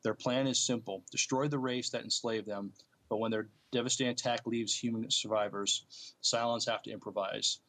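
A man co-hosting a podcast, reading out notes and chatting casually with a halting, hesitant delivery. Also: on the low side, fairly even intonation, fairly bright tone. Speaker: podcast co-host.

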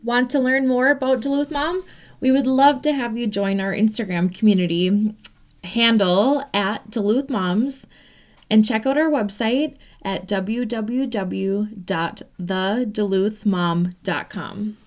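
A woman's voice: mid-range voice, steady tone, slow. A woman reading a short, upbeat promo for the show. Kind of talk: brisk promo read.

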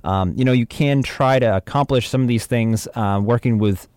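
A man's voice: medium pitch, normal speed, a little mellow, clear, resonant tone, dry recording. A man giving informal, chatty instruction as an audio drama producer, with wry humour.

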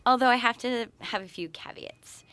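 A woman's voice: fairly high pitch, moderate pace, pushed and bright. A woman speaking as an interview guest, explaining her views in a thoughtful, conversational way. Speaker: interview guest, sexuality educator and researcher.

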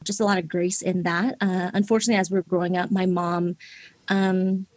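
A woman in her forties from Seattle, openly telling her personal faith story as a podcast guest, earnest and quick to laugh. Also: on the low side, little pitch movement, a little mellow, no echo. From Seattle, Washington, USA. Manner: open and earnest, laughs easily, addresses listeners as guys. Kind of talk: candid personal testimony.

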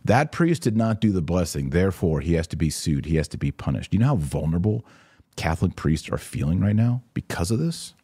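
Deep-voiced man giving emphatic live commentary. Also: very animated, fairly quick, dark tone, breathy edge. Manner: opinionated, emphatic, repeats phrases for emphasis. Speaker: podcast host and religious commentator.

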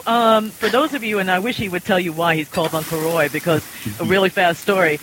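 A woman's voice: lowish voice, fairly quick, fairly bright tone, a little hiss or hum, rough recording. A chatty, rambling woman hosting a casual panel chat. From New York, USA. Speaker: podcast panel host.

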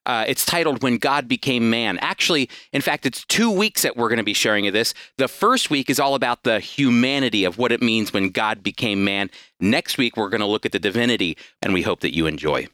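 Earnest, bright-voiced male radio Bible teacher. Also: medium pitch, expressive, brisk, no echo, clean studio sound. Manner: earnest conversational preaching with fillers.

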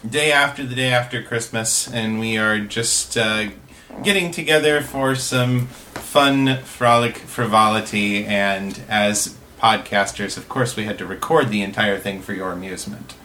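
Guy hosting a podcast, kinda low voice, chatty intro style. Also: unhurried, bright, forceful tone, a little hiss or hum. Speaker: podcast host. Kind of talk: scripted introduction and casual round-table chat.

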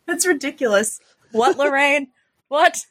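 Bright, slow-paced woman, fairly high voice. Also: expressive, no echo. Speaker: podcast guest. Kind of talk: casual chat.

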